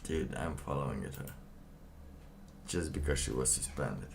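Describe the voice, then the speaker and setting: A man giving casual livestream commentary and reading documents aloud, halting and rambling, often pausing mid-sentence. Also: a bass voice, animated, vocal fry, noisy background. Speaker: livestream host.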